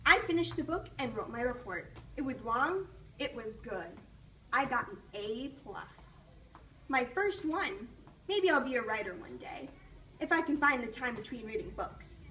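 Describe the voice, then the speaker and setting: A woman reading a children's storybook aloud in an expressive, encouraging way, pausing to ask questions, recorded from a distance. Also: on the high side. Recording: distant mic with faint background noise.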